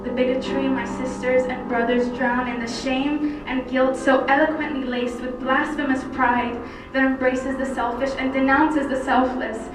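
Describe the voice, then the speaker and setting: A woman from Cyprus recites spoken-word poetry in a fairly high voice, phrasing it deliberately with dramatic pauses. The sound is heavily echoing and picked up from some distance. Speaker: poet. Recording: very echoey, distant mic, loud music. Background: loud music.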